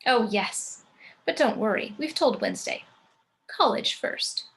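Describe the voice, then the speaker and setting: A woman's voice: fairly high pitch, animated, measured pace, fairly bright tone. A woman playing a composed, genteel, macabre mother in a table read of a comedy script. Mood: serene. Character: composed, genteel macabre matriarch, serenely morbid.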